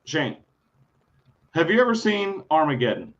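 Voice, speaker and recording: A man's voice: a little high, very animated, measured pace. A man, co-hosting a casual podcast, blunt, profane and argumentative. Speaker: podcast co-host. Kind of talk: crude casual chat. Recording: slightly dull lo-fi sound.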